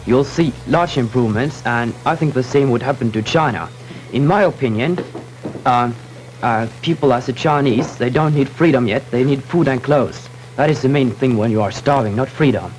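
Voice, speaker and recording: A man's voice: medium pitch, a little mellow, poor audio. Earnest teen boy debater. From Norway. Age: teens. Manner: earnest, with frequent fillers. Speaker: student debater. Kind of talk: panel debate.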